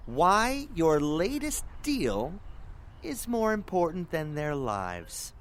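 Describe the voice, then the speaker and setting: An actor playing a theatrical, righteous rabble-rousing internet radio host, with a deliberate, taunting manner, in an audio drama. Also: male voice, medium pitch, heavily acted.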